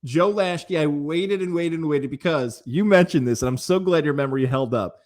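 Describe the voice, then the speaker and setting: Middle-aged American male podcast host, fairly high voice, chatty and effusive, conversational interviewing. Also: moderate pace, darkish tone. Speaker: podcast host, sports broadcaster.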